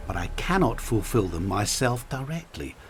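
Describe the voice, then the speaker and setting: An actor playing a suave, scheming bargainer in a fantasy game, formal and theatrical, savoring his pauses. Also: fairly low pitch, sing-song, soft background music. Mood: sly, smooth. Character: suave, scheming male bargainer, smooth and quietly menacing. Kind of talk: fantasy video game dialogue.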